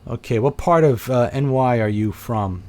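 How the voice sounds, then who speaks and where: A man's voice: mid-range voice, normal speed, warm tone. A middle-aged man from Brooklyn with a New York accent, chatting casually on a webcam and answering viewer questions. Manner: chatty, rambling, thinks aloud with fillers. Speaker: host of a live video chat.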